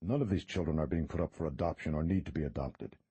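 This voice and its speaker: Man playing a quiet, steely priest. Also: a bass voice, husky, no room sound, full character voice. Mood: cold. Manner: quiet, measured, contemptuous. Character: calm, steely male priest.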